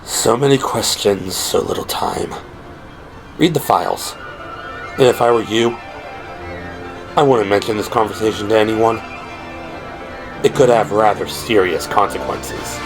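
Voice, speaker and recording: A man performs a mysterious gentleman in his forties for an audio drama. His voice is low and musical, his delivery courtly and unhurried, with an amused, cryptic air over a clear music bed. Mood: secretive. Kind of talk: audio drama character dialogue.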